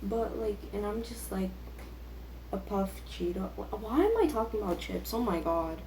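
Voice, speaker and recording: A woman's voice: medium pitch, animated, moderate pace, dark tone. A teenage girl chatting casually and rambling, with a self-deprecating, youthful way of talking full of filler words. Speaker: vlogger. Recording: echoey room with faint background noise.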